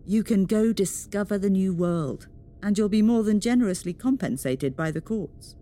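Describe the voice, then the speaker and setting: A woman playing a calm, reassuring official in a dystopian audio drama. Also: moderate pace, close to an everyday voice. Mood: encouraging. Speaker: witness care officer. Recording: clear background noise with low rumble.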